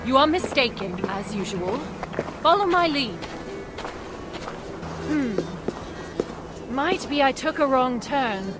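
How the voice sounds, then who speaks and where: A stubborn dwarf woman from a video game, brisk and sharp-tongued. Her high voice is confident and blunt, set over clear background music. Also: lively intonation. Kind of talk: conversational video game acting.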